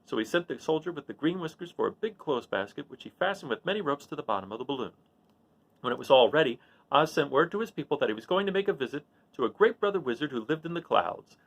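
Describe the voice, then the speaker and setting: Man, read-aloud storyteller, lively varied intonation, creaky touches, storybook narration. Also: on the high side, quick pace, low-quality recording. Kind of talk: storybook narration with informal asides.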